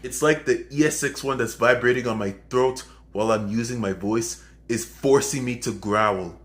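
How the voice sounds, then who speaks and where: A man giving a casual hands-on music gear demo to viewers, halting as he goes, often repeating and correcting himself. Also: fairly low pitch. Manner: halting, repetitive, self-correcting. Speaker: video host demonstrating music gear.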